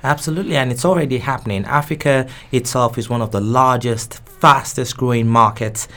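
A man's voice: medium pitch, fairly even intonation, a little fast, fairly bright tone. A man whose first language is Yoruba, answering interview questions thoughtfully with frequent restarts and repeated words. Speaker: guest expert.

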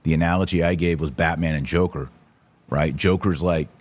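A man's voice: sits low, fairly even intonation, moderate pace. A man hosting a podcast, giving casual, emphatic and opinionated livestream commentary with informal slang. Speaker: podcast host.

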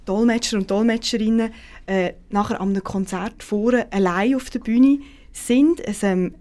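A woman's voice: medium pitch, darkish tone. Woman hosting a talk. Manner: asks long rambling questions with fillers. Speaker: podcast host. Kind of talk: conversational interview.

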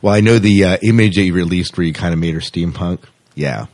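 A man's voice: deep voice, fast, darkish tone. A man hosting a podcast interview in a casual, chatty style.

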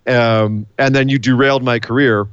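A man co-hosting a casual wrestling podcast, animated and playful, hyping up each announcement. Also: mid-range voice, normal speed, clear, resonant tone, no echo, dull audio. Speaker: podcast co-host.

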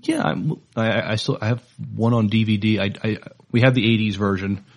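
A man co-hosting a podcast, talking casually through news notes in a halting, hesitant way. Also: lowish voice, fairly even intonation. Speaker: podcast co-host. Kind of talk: casual news chat, partly reading notes.

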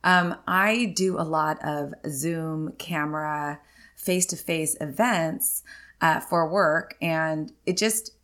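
Chatty woman in her forties, low voice. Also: slow, a bit bright. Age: 40s. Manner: chatty and candid, many ums and likes. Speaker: podcast host. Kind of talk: casual solo podcast chat.

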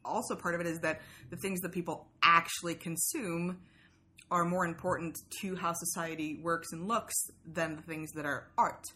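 A woman's voice: on the low side, steady tone, normal speed, sharp tone. A woman from Sweden, a native Swedish speaker, talking casually as a podcast guest in an articulate, opinionated and wry way. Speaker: podcast host being interviewed. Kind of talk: casual conversational discussion.

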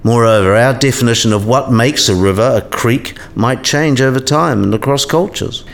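An actor playing an eccentric, kindly old philosophy professor in a radio play, musing and didactic. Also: male voice, mid-range voice, moderate pace, gravelly, natural voice. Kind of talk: scripted radio play dialogue.

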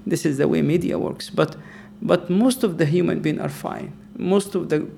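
Gravelly imam, hesitant speech. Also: male voice, a little high, moderate pace, mellow, slight background noise. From Egypt. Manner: hesitant, restarts with many uhs. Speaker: imam and campus chaplain. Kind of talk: interview soundbite.

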